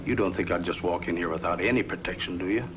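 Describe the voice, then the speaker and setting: Male actor as cunning noir villain, circus boss, low guarded voice, obsessive, noisy old soundtrack. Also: fast, lightly acted. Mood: coolly threatening. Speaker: actor in a crime film. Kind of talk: 1950s film noir acting.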